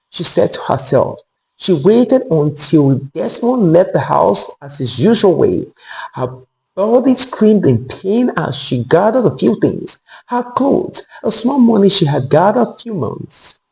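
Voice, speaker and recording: Male storyteller for scripted folktale, high voice with heavy creak, animated pitch movement. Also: poor audio. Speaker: storyteller narrator.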